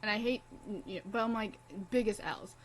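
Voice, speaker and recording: A woman's voice: mid-range voice, moderate pace, slight background noise, low-quality recording. A young woman streaming a game in casual commentary, blunt, profane and impassioned as she talks.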